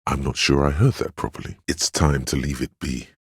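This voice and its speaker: An actor voicing a stern, protective inner voice of willpower in a game, speaking solemnly in a measured, dryly wry and admonishing way. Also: male voice, steady tone, husky, no echo, heavily acted. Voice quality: very deep, solemn. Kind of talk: scripted game narration in second person.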